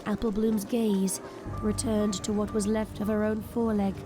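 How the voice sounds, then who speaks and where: A woman's voice: mid-range voice, level tone, normal speed, music in the background. A woman narrator delivering dramatic scripted storytelling for an audio drama.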